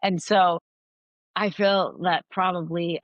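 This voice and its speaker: Low-voiced thoughtful woman, dry sound. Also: fairly even intonation, takes it slowly, no room sound, muffled recording. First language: Farsi. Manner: earnest, thoughtful, frequent restarts. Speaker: guest, anthropologist and author. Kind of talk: reflective interview answers.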